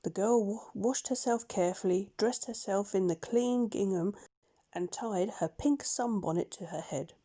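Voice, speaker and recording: Mellow-voiced woman reading a children's story. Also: mid-range voice. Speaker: storybook reader. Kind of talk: scripted children's story narration.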